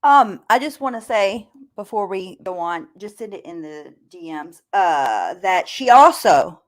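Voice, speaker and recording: A woman's voice: medium pitch, very animated, a little slow. A woman chatting casually and gossipy as a panelist on a live stream.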